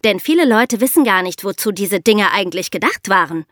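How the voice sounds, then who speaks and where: A woman's voice: mid-range voice, expressive, brisk, fairly bright tone, dry recording, clean studio sound. A young woman co-hosting a podcast, presenting scripted narration and explanations for young listeners. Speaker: podcast co-host. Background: music.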